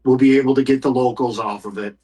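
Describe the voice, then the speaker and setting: A man narrating a tabletop game as game master, improvising in a conversational, halting way and speaking for characters in plain speech. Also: mid-range voice, fairly even intonation, dark tone.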